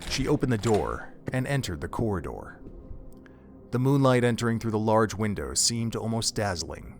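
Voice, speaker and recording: A man's voice: fairly low pitch, animated, moderate pace, faint music behind. A man reading scripted narration for an audio drama in a solemn, literary storytelling manner.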